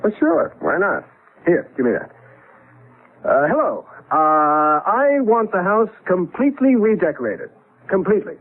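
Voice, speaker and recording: American male, skeptical young lawyer husband; animated, wry, easily flustered; seventies radio mystery style, lo-fi broadcast sound. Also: high-pitched, unhurried, husky, full character voice. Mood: playfully commanding. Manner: wry, exasperated, stammers when alarmed. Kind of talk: 1970s radio drama acting. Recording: band-limited old radio broadcast.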